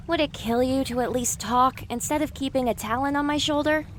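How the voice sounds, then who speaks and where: Woman playing a high-voiced sarcastic young pony. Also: a little fast, a little hiss or hum, lightly acted. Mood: exasperated. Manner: wry, exasperated. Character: sarcastic, exasperated young female pony from an underground stable. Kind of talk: audio drama acting.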